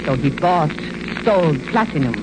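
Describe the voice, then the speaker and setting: An actress playing a passionate, ruthless femme fatale with a Spanish accent, theatrical and seductive in 1940s radio style. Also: female voice, sits low, expressive, normal speed. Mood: confiding. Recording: muffled, very noisy 1940s radio recording.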